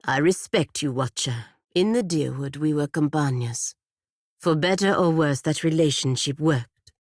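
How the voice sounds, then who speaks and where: A woman playing a proud, stern godlike warrior in a fantasy video game, speaking in a formal, measured and curt manner. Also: sits low, no room sound, clean studio sound. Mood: earnest, grave.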